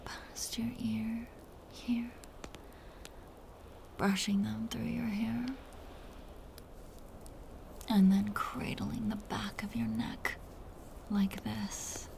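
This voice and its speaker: Dominant, predatory female vampire played by a woman for intimate audio drama: amused, softly condescending, unhurried, whispery with a creaky edge. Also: fairly high pitch, little pitch movement, a little hiss or hum, full character voice. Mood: seductive, possessive. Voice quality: breathy, whispery. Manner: slow, teasing, softly condescending.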